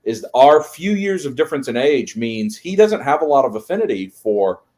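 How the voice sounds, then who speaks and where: A man's voice: mid-range voice, big pitch swings, normal speed, darkish tone. A man in his early forties hosting a casual live-stream interview, chatty, with frequent stumbles and restarts. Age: 40s.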